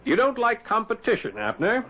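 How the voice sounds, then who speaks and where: An actor playing a shrewd, middle-aged newspaperman sleuth in a radio drama, probing and confident with deliberate delivery. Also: very high-pitched, wildly swooping pitch, exaggerated voice. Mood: accusing. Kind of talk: 1970s radio drama acting. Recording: dull vintage radio broadcast.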